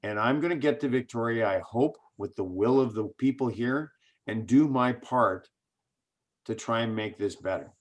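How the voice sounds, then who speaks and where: A man's voice: medium pitch, normal speed. A man, a political candidate answering in an interview, earnest and speech-like, posing rhetorical questions and answering them.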